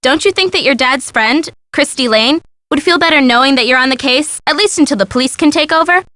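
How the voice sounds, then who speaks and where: A woman playing a bubbly, excitable young female sidekick in scripted video game dialogue. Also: sits high, brisk, no room sound. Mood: chirpy. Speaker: game voice actor.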